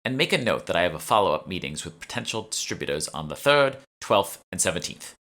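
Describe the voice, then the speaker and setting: A man's voice: sits low, very animated, fast, fairly bright tone, exaggerated voice. A man voicing an imperious, condescending young woman who plays a breezy heiress in an audiobook. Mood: bossy. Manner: breezy, demanding, haughty. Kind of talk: audiobook character dialogue.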